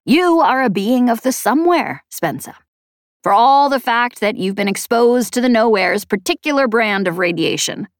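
Woman playing Chet, a measured, knowing man. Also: on the high side, animated, dry recording, studio quality, voice pushed up, natural voice. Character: Chet, earnest male guide, mysterious and knowing.

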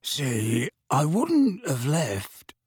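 A man's voice: a little high, sing-song, takes it slowly, vocal fry, breathy edge, no echo, studio quality, heavily acted. A man voicing a shady, mournful petty crook who mutters and wheedles in an audiobook. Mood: wheedling, shifty. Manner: muttering, pleading, colloquial.

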